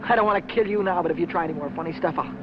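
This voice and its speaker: A man's voice: high voice, very fast, close to an everyday voice. An actor playing a desperate young American man on the run, urgent and stammering, in 1950s film noir style. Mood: agitated, defiant. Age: young adult. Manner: urgent, stammering under pressure. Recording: muffled old film soundtrack, loud engine noise.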